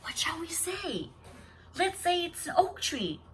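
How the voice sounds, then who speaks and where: A woman's voice: extremely high pitch, very animated, measured pace, slight background noise, voice pushed up, full character voice. A woman voicing proud, cruel, boastful young sisters in a storybook read-aloud. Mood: scheming whisper. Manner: boastful, stammering and whispering when cornered.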